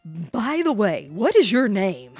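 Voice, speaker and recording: Girl doing a flamboyant game gentleman, florid n theatrical, swoopy pitch, unhurried. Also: big pitch swings, dry recording, soft background music. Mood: gushing. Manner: theatrical, florid, over-polite. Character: flamboyant, exuberant young gentleman traveler from a game.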